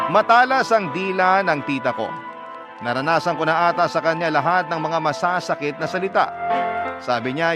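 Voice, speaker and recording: A man's voice: fairly high pitch, close to an everyday voice. A man playing a self-conscious man who recounts his hard life in scripted radio drama narration, with a Filipino accent. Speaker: narrator of the story. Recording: loud music under the narration.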